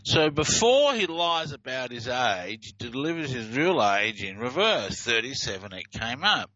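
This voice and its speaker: A man's voice: mid-range voice, very animated, unhurried, sharp tone, highs cut off, slight background noise. A man presenting and explaining recorded clips in a casual, hesitant way, with many fillers and restarts. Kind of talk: casual explanatory talk over played clips.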